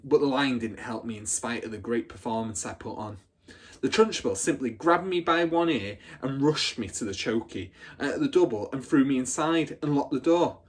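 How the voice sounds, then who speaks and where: A man's voice: medium pitch, normal speed, husky, natural voice. A man voicing a boastful, battle-hardened older schoolgirl in a storybook, telling her exploits with swaggering drama. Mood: dramatic, aggrieved.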